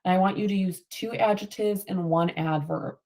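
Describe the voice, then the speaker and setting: A woman's voice: mid-range voice, fairly even intonation, moderate pace, darkish tone, low-quality recording. A woman teacher reading a picture book aloud to children and prompting them with questions, affirming their answers.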